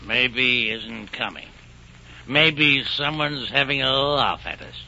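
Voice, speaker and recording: A man's voice: medium pitch, takes it slowly, natural voice. Muffled, noisy old-radio soldier played by a man. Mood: wary, grumbling. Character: wary soldier keeping watch in ambush. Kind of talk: 1940s radio acting.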